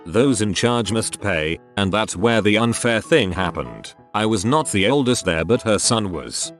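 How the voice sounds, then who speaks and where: Male narrator, low-ish and lively, wry deadpan asides. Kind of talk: reading online stories aloud.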